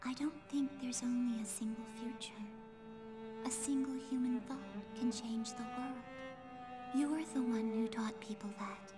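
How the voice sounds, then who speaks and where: A woman playing a gentle, sorrowful otherworldly girl in a game cutscene, speaking in a soft, breathy, measured voice. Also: on the high side, over music, close to an everyday voice. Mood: wistful. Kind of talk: dramatic video game cutscene acting.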